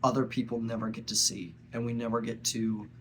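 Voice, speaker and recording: A man's voice: mid-range voice, little pitch movement, a little hiss or hum. Earnest young man with a stuffy nose. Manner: earnest, halting, with frequent pauses. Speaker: vlogger. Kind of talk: personal reflective monologue.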